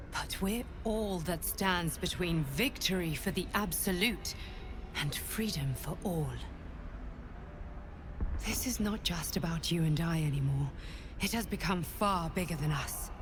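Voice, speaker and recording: A woman playing a weary, solemn psychic guardian in a video game, grave and earnest with a hushed, breathy edge. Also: fairly low pitch, background noise, lightly acted. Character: weary, solemn psychic female guardian bearing a heavy burden. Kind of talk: dramatic video game acting.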